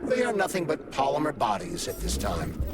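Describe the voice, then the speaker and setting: An actor playing a polite, erudite AI glove companion in a video game, speaking formally and articulately in an explanatory way. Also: male voice, medium pitch, wildly swooping pitch, normal speed, heavy background noise. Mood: informative, concerned. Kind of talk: scripted video game dialogue. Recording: music behind.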